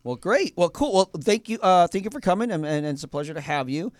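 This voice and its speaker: A man's voice: high voice, expressive, fast talker, no echo. A man hosting a radio show in a studio, chatting casually, full of fillers and restarts. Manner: rambling, many fillers and restarts.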